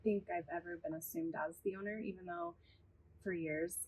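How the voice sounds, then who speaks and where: A woman's voice: on the low side, very animated, normal speed, a little mellow, slightly rough, rough recording. A woman who owns a bar, telling her experiences in a candid, matter-of-fact way. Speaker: bar owner. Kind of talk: casual chat.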